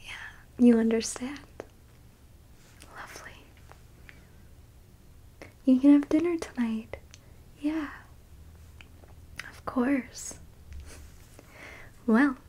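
A woman playing a haughty, squeamish alien abductor in an ASMR roleplay, whispering slowly and condescendingly as she coaxes a captive human. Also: sits high, dark tone, heavily acted. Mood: condescending, menacing. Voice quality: breathy whisper. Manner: slow, condescending, coaxing.

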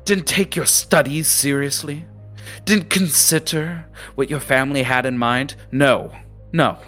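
A man playing a scornful, shrill sea captain. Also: unhurried, faint music behind. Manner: scolding, shouted outbursts. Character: imperious, contemptuous male sea captain sorcerer.

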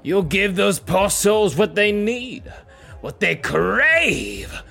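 A man playing a grandiose, theatrical club boss in an audio drama, savoring his words in a creaky voice. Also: high voice, very animated, takes it slowly, bright, forceful tone, faint music behind, heavily acted. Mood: grandiose, delighted.

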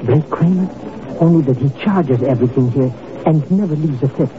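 Man playing a nervy, highish-voiced sidekick. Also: normal speed, noisy background, natural voice. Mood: eager, uncertain. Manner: hesitant, stammering, complaining. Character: nervy, grumbling male club servant turned informant sidekick. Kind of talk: 1950s radio drama acting. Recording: muffled old radio recording, music.

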